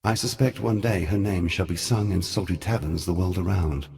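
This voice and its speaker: An ancient male god from a fantasy game, with a low, deep voice. He is solemn and world-weary, choosing each formal word deliberately. The delivery is theatrical, grave and cunning. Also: vocal fry, a little mellow. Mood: wryly admiring.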